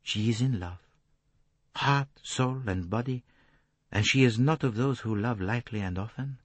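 A man voicing a calm, shrewd, courteous foreign detective, speaking formally and deliberately in an audiobook. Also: mid-range voice, no echo, pitched above the performer's own voice, close to an everyday voice. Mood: detached, recounting. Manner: placid, detached, formal, deliberate phrasing.

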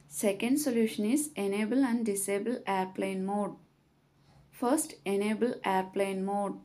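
A woman narrates a scripted tutorial with a medium-pitched voice. Her delivery is very slow and measured, setting out each instruction step by step. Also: fairly even intonation, dark tone.

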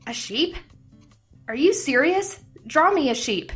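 A woman's voice: sits high, expressive, takes it slowly, fairly bright tone, faint music behind, exaggerated voice. A woman voicing a dry, teasing, friendly teenage schoolgirl in a comic dub.

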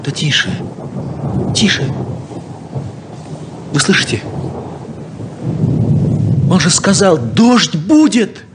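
A naive, excitable farm lad in an old radio play. His voice is highish and swinging, with drawn-out delivery over faint noise. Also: heavily acted. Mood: hushed, awed. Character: excitable, naive younger son of a ranching family. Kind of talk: 1970s Soviet radio drama acting.

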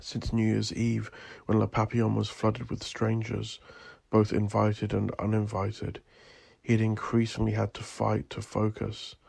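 A man reads dark horror fiction as a theatrical storyteller. His voice has a pronounced gravelly fry and stays within a fairly even range. The delivery is ominous and deliberate, captured very dry and close. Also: on the low side, no room sound. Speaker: audio drama narrator.

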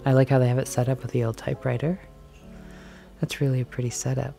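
Mellow-voiced woman browsing a thrift shop. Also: very deep voice, level tone, quick pace, vocal fry, faint music behind. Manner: chatty, musing aloud, drifting into soft whispers. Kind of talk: casual walk-and-talk commentary. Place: large indoor antique and thrift shop. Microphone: handheld camera mic, filming as she walks.

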